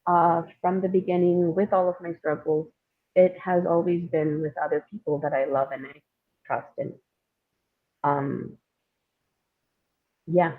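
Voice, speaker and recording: Woman from India, interview guest, very low voice, thoughtful and hesitant, wry about herself, thin band-limited audio. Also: steady tone, a little slow, long pauses, narrow, tinny audio. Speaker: guest, playwright and screenwriter. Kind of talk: reflective interview conversation.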